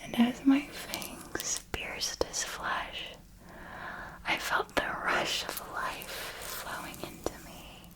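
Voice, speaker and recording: A woman's voice: sits high, poor audio. Woman as a seductive whispering female vampire. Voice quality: breathy whisper. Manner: slow, lingering, deliberate phrasing. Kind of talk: whispered ASMR roleplay storytelling.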